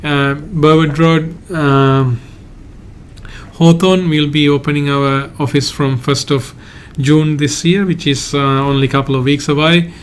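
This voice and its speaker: A man from Sri Lanka, a migration agent hosting a live Q&A and answering viewer questions in a halting, hedging way. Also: fairly high pitch, level tone, a little hiss or hum. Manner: halting, hedges often, reads out viewer questions.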